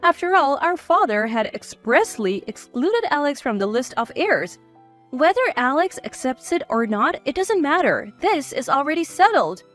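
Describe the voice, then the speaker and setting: A woman's voice: high voice, big pitch swings, moderate pace, soft background music. A woman reading scripted first-person narration of a dramatic family story.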